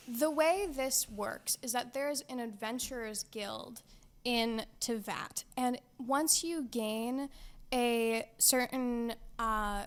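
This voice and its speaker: A young woman chatting casually as a podcast guest, explaining things in a playful, slangy way. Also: on the high side.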